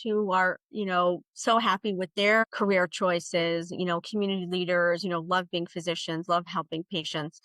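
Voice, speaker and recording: A woman in her forties with a New York accent talks as a guest doctor. She chats freely and scatters fillers through her answers. Her voice sits mid-pitch and sounds close and dry, with no room around it. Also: a bit bright, dry recording. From United States. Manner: chatty, many fillers. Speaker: radiation oncologist guest. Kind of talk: conversational interview answers.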